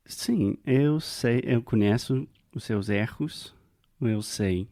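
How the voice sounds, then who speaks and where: A man's voice: medium pitch, takes it slowly, dark tone, no room sound, clean studio sound. A man from the United States, a native English speaker with an American accent, co-hosting a podcast and speaking in an earnest, reflective way. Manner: earnest and reflective, thinks aloud. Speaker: podcast co-host. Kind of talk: casual conversation.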